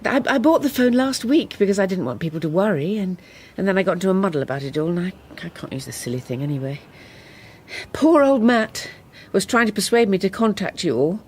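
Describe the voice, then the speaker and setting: Hesitant older wife. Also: female voice, mid-range voice, expressive, breathy edge. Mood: flustered, ashamed. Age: 60s. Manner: hesitant, halting. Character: gentle, apologetic runaway wife in her sixties, flustered. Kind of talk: naturalistic radio drama acting.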